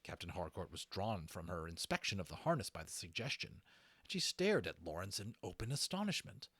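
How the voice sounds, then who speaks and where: A man narrating a fantasy audiobook in an animated storytelling style, with informal asides. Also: low voice, fairly quick, breathy edge, no room sound.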